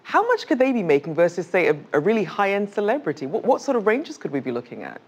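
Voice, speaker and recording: A woman's voice: medium pitch, very animated, fast. A woman hosting a broadcast interview, putting questions to a guest, on a slightly muffled recording. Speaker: interviewer, news host.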